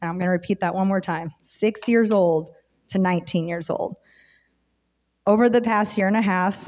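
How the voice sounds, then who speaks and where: A woman's voice: fairly low pitch, darkish tone, muffled recording. Sincere woman giving a church testimony. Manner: sincere, earnest. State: slightly rough voice from oncoming illness. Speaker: church member and volunteer speaker. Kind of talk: prepared testimony to a congregation.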